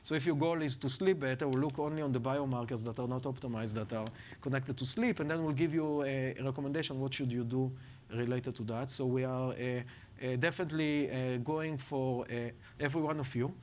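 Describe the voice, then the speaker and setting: A man speaking on a panel, describing his product in halting English with many pauses and slips in grammar. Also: medium pitch, steady tone, darkish tone, slight background noise. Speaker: panelist and startup founder. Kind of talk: panel discussion.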